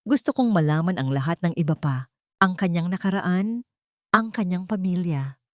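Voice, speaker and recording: Woman acting as billionaire boss, suspicious, probing, authoritative, animated pitch, audio drama. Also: normal speed, no echo, clean studio sound, lightly acted. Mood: firm, secretive. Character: suspicious, commanding billionaire businessman.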